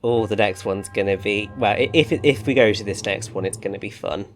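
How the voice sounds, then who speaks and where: A man with a naturally dry, deadpan voice, giving directions and chatting over a game. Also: lowish voice, fairly quick, faint music behind. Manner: guiding, precise, rambling over-explainer. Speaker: co-commentator. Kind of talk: casual let's-play chat.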